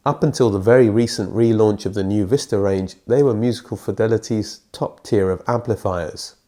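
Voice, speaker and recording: A middle-aged man with a British accent, his voice thick and croaky from a lingering cold. He talks low and mellow through a scripted review, dropping in the odd dry aside at his own expense. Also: moderate pace. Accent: British English. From Britain. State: congested from a cold.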